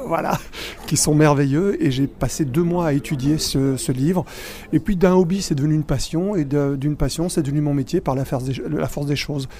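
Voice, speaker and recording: A middle-aged man, born in Spain and raised in Belgium, speaking as a magician guest in a casual interview, animated and full of restarts. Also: on the high side, brisk. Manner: animated, many restarts and fillers.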